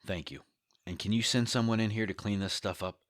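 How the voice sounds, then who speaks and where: Guy doing dismissive boss man for an audio drama, lowish voice, sure of himself, no room sound. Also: steady tone, brisk, no room sound, clean studio sound, lightly acted. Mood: matter-of-fact. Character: dismissive, self-assured male boss. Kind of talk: audio fiction podcast acting.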